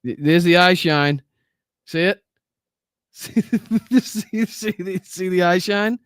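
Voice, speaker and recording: A man's voice: high voice, slow, fairly bright tone, clear, resonant tone, dry recording. Boston guy on a livestream, swears a lot, mocks everything, dry close mic. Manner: crude, profane, mocking, laughs often. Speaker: livestream host. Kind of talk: live reaction commentary.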